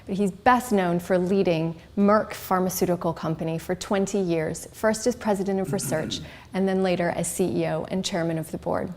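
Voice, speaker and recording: A woman's voice: on the low side, steady tone, normal speed, a little mellow, a little hiss or hum. Woman interviewer with a polished introduction. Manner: polished, formal. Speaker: interviewer, host.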